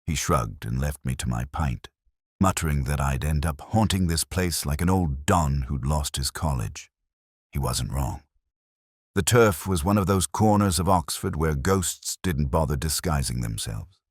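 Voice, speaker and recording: Very deep, brooding detective narrator, played by a man. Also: animated, slightly rough, no room sound, clean studio sound, exaggerated voice. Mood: wistful, resigned. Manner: brooding, literary, measured. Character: weary, melancholy older male police detective narrating his case. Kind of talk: scripted first-person mystery narration.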